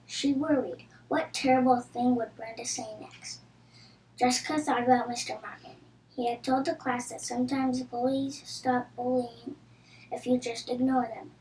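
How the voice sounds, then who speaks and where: A woman's voice: on the high side, a little mellow. A woman reading a children's storybook aloud. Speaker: storybook reader. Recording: roomy echo with audible background noise.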